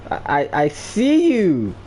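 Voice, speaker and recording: A man's voice: high-pitched, huge pitch swings, takes it slowly. A man giving casual gameplay commentary, slangy, profane and teasing. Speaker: game streamer. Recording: faint game noise behind the voice. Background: video game audio.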